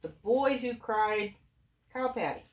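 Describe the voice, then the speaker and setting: A woman's voice: on the high side, slow pace, noisy background. A woman, a mother, reading a storybook aloud informally and expressively, with playful asides to her family. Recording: echoey room.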